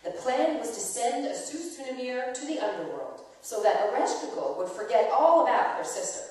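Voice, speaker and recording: A woman telling a myth aloud in a dramatic reading, expressive and explanatory, as if to children. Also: on the high side. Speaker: storyteller. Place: church, performed live before listeners. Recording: very echoey church hall, distant microphone.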